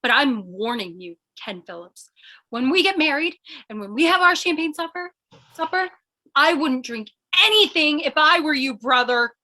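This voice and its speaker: Woman acting an excitable high-voiced girl reporter. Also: moderate pace. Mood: indignant, threatening. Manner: breathless, urgent, dramatic exclamations. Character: excitable, melodramatic young female newspaper reporter. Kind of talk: old-time radio comedy thriller acting.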